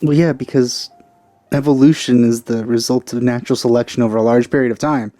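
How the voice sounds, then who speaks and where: A man giving casual, halting, nitpicky running commentary as he plays a video game. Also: medium pitch, fairly even intonation, warm tone, dry recording, soft background music. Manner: halting, self-correcting, nitpicky. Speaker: let's player commenting on a game.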